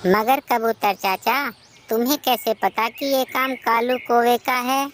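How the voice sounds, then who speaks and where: A woman's voice: a little high, fairly even intonation, takes it slowly, a little hiss or hum. A woman voicing an honest, polite male sparrow in a cartoon moral story, speaking respectfully and earnestly. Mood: worried, earnest.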